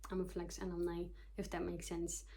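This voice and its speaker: A woman's voice: lowish voice, completely flat delivery, moderate pace, gravelly, background noise. A young woman from Kyrgyzstan in her twenties, a psychology student, chatting casually to camera, joking at herself and loosely reading from her notes. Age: 20s. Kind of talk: casual talking-to-camera vlog.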